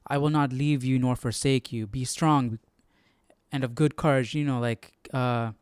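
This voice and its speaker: A man's voice: medium pitch, fairly even intonation, a little slow, a little mellow, smooth, dry recording, clean studio sound. A man hosting a livestream study session, commenting in a casual, informal way and often checking in with listeners. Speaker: host of a Bible study livestream.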